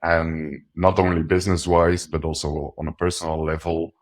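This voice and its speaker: Male guest, very low and flat voice, earnest and reflective. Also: normal speed. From coastal Belgium. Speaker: guest, sales account executive. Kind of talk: casual interview talk.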